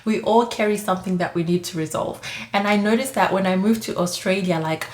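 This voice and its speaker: A woman's voice: medium pitch, brisk. A woman, giving chatty advice in a casual monologue, full of "like" and "you know". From Zimbabwe. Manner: chatty, frequent "like" and "you know", light laughs. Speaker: vlogger giving immigrant advice. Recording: echoey room.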